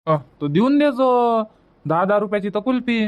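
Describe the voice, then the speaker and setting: A man voicing a patient, gentle cartoon father with a Varhadi Marathi accent, coaxing and repeating moral advice. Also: sits high, very animated, moderate pace, narrow, tinny audio. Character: patient, gentle village father advising his wife. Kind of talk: cartoon comedy dubbing.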